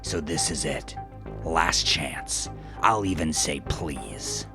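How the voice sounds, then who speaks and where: A man playing a slick, weary young gunman who makes taunting, profane threats in a gravelly voice, in an audio drama. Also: rumbling low, slow, over music, heavily acted. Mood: fed-up, threatening.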